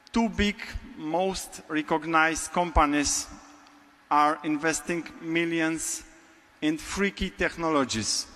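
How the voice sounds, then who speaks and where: Slow Polish-accented man in a hall. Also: a little high, sharp tone. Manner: slow, deliberate delivery with long pauses between phrases. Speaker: conference speaker. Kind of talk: prepared keynote speech. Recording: long hall reverb.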